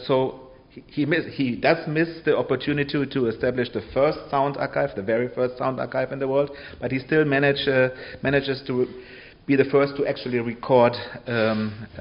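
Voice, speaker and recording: Halting male lecturer, slightly muffled sound. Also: mid-range voice. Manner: halting, with frequent pauses and check-in tags. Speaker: lecturer on sound archive history. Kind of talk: public talk with hesitant, informal delivery.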